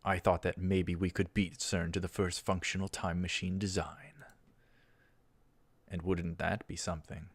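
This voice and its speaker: Low-pitched man reading a story aloud. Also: little pitch movement, unhurried, husky. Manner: stumbles over lines and corrects himself. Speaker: let's play host reading a visual novel aloud. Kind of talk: scripted narration with brief asides.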